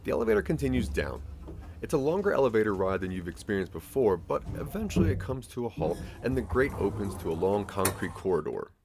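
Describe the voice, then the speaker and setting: A man's voice: lowish voice, sing-song, fast talker, gravelly, noisy background. A man narrating a scripted second-person story for an audio drama, with a dramatic storytelling manner.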